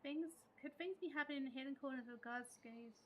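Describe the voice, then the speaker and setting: A woman's voice: sits high, fairly quick, dull audio, a little hiss or hum. A woman streaming a video game live, reading dialogue aloud and reacting to it casually. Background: faint game music.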